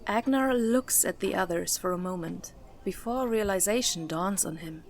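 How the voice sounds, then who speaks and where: A woman's voice: medium pitch, moderate pace. A woman reading scripted story narration for a fantasy audio drama.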